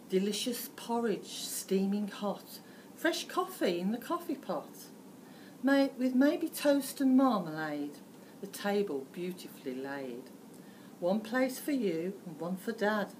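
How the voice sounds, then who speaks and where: A woman's voice: medium pitch, a little mellow. A British woman reading a rhyming storybook aloud like a teacher, theatrical and emphatic, with some background noise. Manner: theatrical, emphatic, deliberate phrasing. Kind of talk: dramatic rhyming storybook narration.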